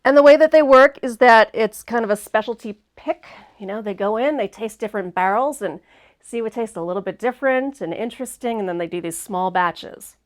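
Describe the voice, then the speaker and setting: A woman's voice: fairly high pitch, a little fast. A woman hosting a casual livestream chat, sounding a bit sniffly and congested, talking in a chatty, upbeat way. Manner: chatty and upbeat, laughs easily. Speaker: host.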